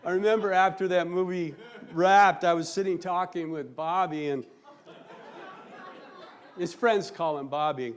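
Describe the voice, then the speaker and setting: High-pitched man giving a theatrical comic speech. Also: steady tone, some chatter behind. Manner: theatrical, mock-serious boasting with dramatic pauses. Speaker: speech contest competitor telling a comic tall tale. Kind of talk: humorous storytelling speech to an audience. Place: live on stage before a laughing audience.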